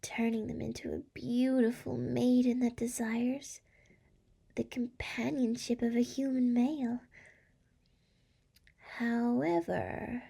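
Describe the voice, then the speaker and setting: A woman voicing a seductive, tender demon lord in an intimate ASMR roleplay, speaking softly and coaxingly with a breathy, often whispering voice. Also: on the high side, fairly even intonation, heavily acted. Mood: coaxing, solemn. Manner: slow, soft, coaxing. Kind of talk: scripted ASMR roleplay, intimate address to listener.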